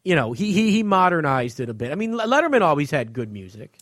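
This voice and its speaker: A man's voice: fairly high pitch, big pitch swings, fast talker, fairly bright tone, no room sound, studio quality. A man co-hosting a podcast, chatting casually and giving emphatic, often profane opinions. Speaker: podcast co-host reviewing a book.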